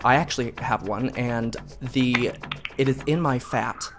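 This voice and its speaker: Deluded, courteous phone caller played by a woman, very deep for female, dead earnest, music behind. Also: unhurried. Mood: earnest, troubled. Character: earnest, polite, deluded caller convinced of being cursed. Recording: phone prank video with music underneath.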